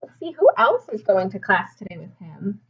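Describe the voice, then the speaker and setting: A woman's voice: mid-range voice, very animated, normal speed, husky, dull audio. A woman teacher reading a storybook aloud to young children, expressively, with simple explanations and questions.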